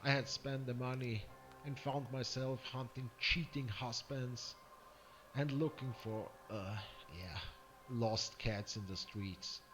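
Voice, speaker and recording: An actor with an Austrian accent playing a weary, sardonic ex-cop turned private eye in a game intro, with music behind. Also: male voice, medium pitch, takes it slowly, natural voice, low-quality recording. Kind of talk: hard-boiled game intro narration.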